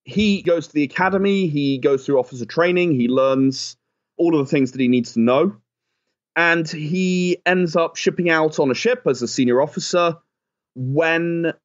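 A man's voice: on the high side, moderate pace, dull audio. A man being interviewed as a game creator and producer, explaining his work conversationally.

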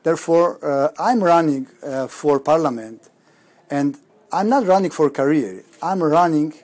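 A man in his fifties with a Polish accent, speaking haltingly to camera with many fillers and restarts in a campaign video recorded indoors. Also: fairly high pitch, darkish tone. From Poland. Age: 50s. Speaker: parliamentary candidate. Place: indoor campaign office before a banner.

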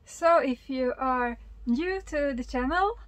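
A woman in a fairly high voice. She explains things informally and with enthusiasm, like someone chatting to a handheld camera on a small boat. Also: slow pace, no echo. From Sweden. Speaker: sailing vlogger. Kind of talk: casual explanatory chat to camera. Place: small sailboat, in cabin and covered cockpit, and outdoors at a marina.

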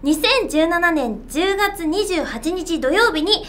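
Young woman with a very high voice. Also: expressive, slow, a little hiss or hum. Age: young adult. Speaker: voice actor leading the chat. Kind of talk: casual promotional chat. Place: small indoor room.

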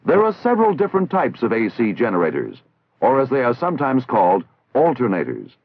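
A man with an American accent narrating a 1960s military training film in a formal, measured, instructional way, on an old film soundtrack. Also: medium pitch, wildly swooping pitch. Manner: formal, measured, didactic enunciation. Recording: tinny, band-limited 1960s film soundtrack.

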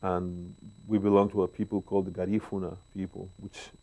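A man in his sixties with a Mexican Spanish accent speaks in a very low, deep voice. He tells stories as an interview guest, pausing on fillers, seated in a living room with a lapel mic. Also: level tone, low-quality recording. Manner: warm, storytelling, pauses with fillers. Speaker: guest bass player. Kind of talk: relaxed interview talk.

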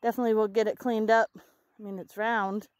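A woman in her thirties, an excited and chatty metal detecting vlogger, talking casually to camera outdoors in an open farm field. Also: medium pitch, brisk, dry recording. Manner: excited, chatty, self-correcting. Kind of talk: casual outdoor vlog narration. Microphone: handheld or body camera close up.

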